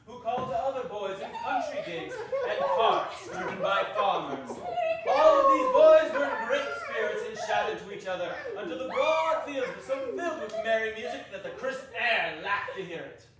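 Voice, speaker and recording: A man's voice: extremely high pitch, wildly swooping pitch, normal speed, a loud crowd. A man narrating a staged dramatic reading with dramatic emphasis, heard from far off in an echoey arts hall. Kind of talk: theatrical narration. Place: small arts center stage.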